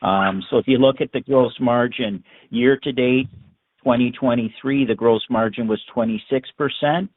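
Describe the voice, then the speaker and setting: A man speaks as a finance executive fielding questions on an earnings call. His delivery is halting, with words often repeated as he builds his answer. The sound is narrow and phone-like. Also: mid-range voice. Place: conference call. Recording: narrow, tinny phone line.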